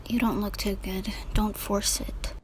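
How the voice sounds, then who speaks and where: A woman's voice: medium pitch, flat delivery, a little slow, a little hiss or hum. A young adult woman giving casual, slangy, reactive commentary while playing a video game.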